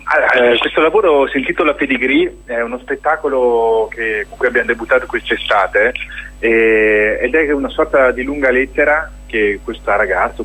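A man's voice: medium pitch, fairly bright tone, husky, rough recording. A man being interviewed on radio as a theatre director, reflective and hesitant as he searches for words while explaining his work.

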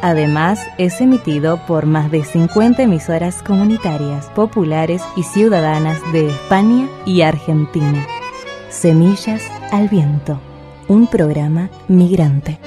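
A woman announcer reading a scripted station notice in a brisk, even way. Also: deep voice, over music.

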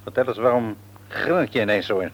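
A man's voice: fairly low pitch, fast, close to an everyday voice. An actor playing a shrewd, patronising middle-aged father and businessman in a Dutch radio play, wordy and probing, with a Netherlands accent. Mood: probing, chummy. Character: shrewd, patronising businessman father, outwardly jovial, secretly domineering. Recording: radio drama recording.

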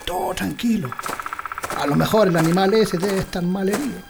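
A man's voice: high-pitched, normal speed, gravelly, noisy background. A man acting a stubborn, skeptical rural husband in a radio drama, with colloquial Chilean speech. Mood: wary, threatening. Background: prominent music.

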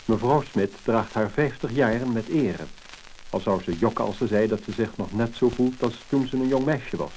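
A man with a Netherlands Dutch accent reading a scripted 1950s narration in a measured, formal manner. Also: medium pitch, darkish tone. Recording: crackly, hissing mid-century disc recording.

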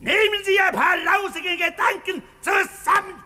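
Raging colonel for radio play: very high, piercing, sharp shout, barking orders and abuse. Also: male voice, fairly even intonation, normal speed, exaggerated voice. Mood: furious, barking. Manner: bellowing, abusive, clipped military commands. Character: furious cavalry colonel, barking commanding officer. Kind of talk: radio drama acting.

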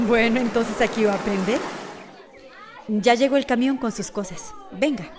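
An actress playing a welcoming, practical shelter organiser in a radio soap opera, matter-of-fact and friendly. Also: female voice, a little high, unhurried, lightly acted. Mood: explaining, friendly.

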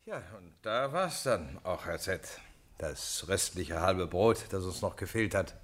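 An actor playing a calm, middle-aged male police detective in a radio drama, measured and dryly ironic. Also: sits low, exaggerated voice. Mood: businesslike. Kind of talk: German radio drama acting.

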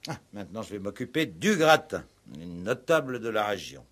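Police commissioner, lowish voice, measured, wry, probing, courteous, old radio drama. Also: male voice, very animated, fairly bright tone. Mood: musing. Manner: measured, inquisitive, lightly ironic. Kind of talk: 1960s French radio play acting.

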